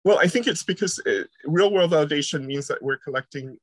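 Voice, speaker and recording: A man's voice: fairly high pitch, brisk. A middle-aged American man answers questions in a podcast interview, his speech hesitant and full of fillers. His tone stays friendly. The sound is dry and close, with no room echo. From United States. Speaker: university researcher guest.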